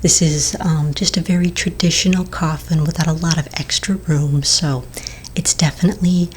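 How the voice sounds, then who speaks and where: A woman playing a friendly, patient vampire sales agent in a soft, breathy, mostly whispered ASMR roleplay, gentle and unhurried. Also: low-pitched, fairly even intonation, a little hiss or hum, lightly acted. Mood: gentle, reassuring.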